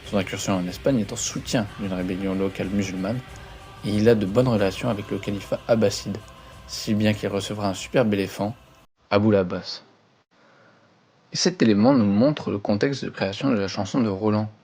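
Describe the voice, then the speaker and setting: A man's voice: fairly low pitch, brisk, darkish tone, a little hiss or hum. A man giving a scripted, formal educational narration as a history presenter. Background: background music.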